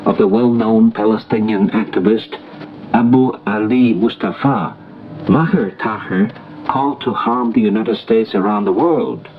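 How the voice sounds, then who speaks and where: A man reading a formal, scripted international news bulletin as a radio newsreader, in a measured delivery. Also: fairly low pitch. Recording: noisy, muffled shortwave radio broadcast recording.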